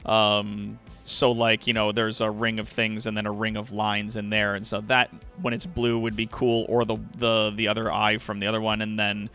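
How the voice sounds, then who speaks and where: Bright-voiced man chatting on a livestream. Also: on the low side, completely flat delivery, moderate pace, hardly any pauses, soft background music. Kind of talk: casual chat with stream viewers.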